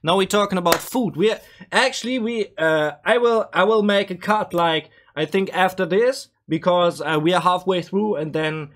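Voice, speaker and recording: A man's voice: high voice, normal speed, few pauses, fairly bright tone. A man from Germany with a German accent, reacting casually and thinking aloud with hesitant restarts. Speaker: reaction video creator.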